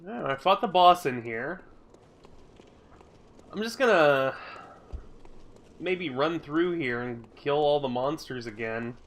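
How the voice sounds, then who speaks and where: A man streaming a video game and chatting to his viewers, his voice a little high and stuffy from a lingering cold. He talks slowly and rambles, grumbling and swearing casually as he plays. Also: expressive, a bit bright. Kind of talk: casual live-stream chat while playing.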